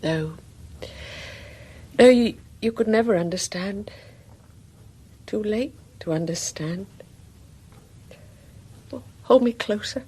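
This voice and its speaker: An actress playing an anguished, frightened young wife in a radio drama, breathy and halting, often slipping into whispers. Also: female voice, medium pitch, a little mellow. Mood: pleading, frightened. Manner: halting, fragmented, lingering delivery.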